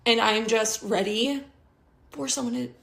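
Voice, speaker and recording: Gravelly earnest woman in an echoey room. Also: fairly high pitch, fairly even intonation, normal speed, fairly bright tone. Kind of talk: casual confessional social video.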